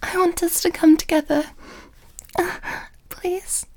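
A woman voicing an affectionate lover in an intimate audio roleplay, with breathy, halting whispers. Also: very high voice, steady tone, darkish tone, full character voice. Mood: aroused, breathless. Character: amorous, tender female lover with a tail.

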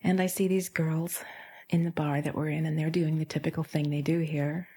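A woman with a breathy, often whispered voice, softly and haltingly narrating an intimate first-person erotic roleplay straight to the listener. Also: low-pitched, little pitch movement. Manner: soft, halting, confiding delivery. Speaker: audio erotica performer.

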